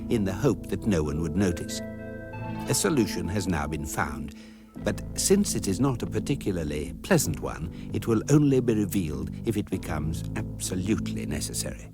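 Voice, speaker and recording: An actor playing a wry, measured guidebook narrator, delivering scripted comic radio narration deliberately and drily. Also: male voice, sits low. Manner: deadpan, droll, deliberate. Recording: music behind the narration.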